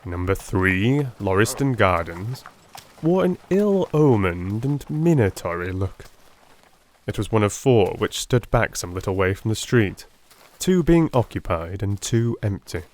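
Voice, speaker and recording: Male narrator for classic fiction audiobook: dramatic, literary delivery, slightly low register, wide pitch movement. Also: sing-song, a little mellow. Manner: theatrical, literary, measured.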